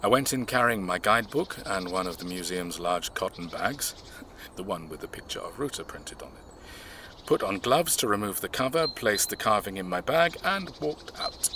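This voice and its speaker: A man acting in an audio drama, playing a reserved, measured man who recounts his family's past in a reflective way. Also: deep voice, sing-song, sharp tone, a little hiss or hum, exaggerated voice.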